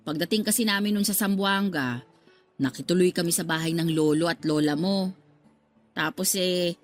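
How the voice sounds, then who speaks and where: An actress playing a talkative, motherly middle-aged working-class woman in a radio drama, expressive and given to exclaiming. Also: lowish voice, close to an everyday voice. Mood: matter-of-fact. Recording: music under the voice.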